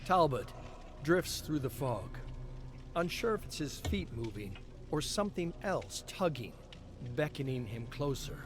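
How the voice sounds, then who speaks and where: A man giving a measured, expressive dramatic reading of game story text as a lore reader on a live stream. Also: a little high, noisy background. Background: clear background music.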